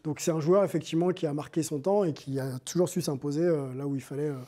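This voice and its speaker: Mellow high-voiced host. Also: male voice, fast talker. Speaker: show host. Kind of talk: studio sports debate.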